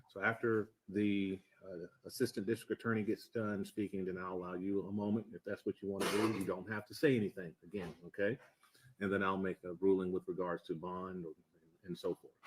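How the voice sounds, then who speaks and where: A male judge with a fairly low, husky voice explains a hearing step by step. His delivery is measured and plain-spoken, with some hesitation as he goes. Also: poor audio. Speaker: judge presiding over a bond hearing. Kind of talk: procedural courtroom explanation.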